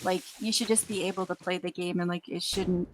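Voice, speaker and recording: A young adult woman chatting casually as an interview guest, informal and halting, peppering her speech with filler "like". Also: fairly low pitch, fairly even intonation. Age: millennial, young adult. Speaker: interview guest, voice actress and gamer. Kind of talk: casual chat.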